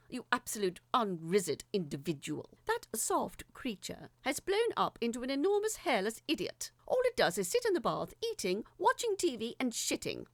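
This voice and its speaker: Casting: pompous, fed-up prime minister, performed by a woman, emphatic comic ranting, lively pitch, some creak. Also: dry recording. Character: indignant, haughty prime minister writing an exasperated complaint letter. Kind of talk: dramatic reading of a comic letter.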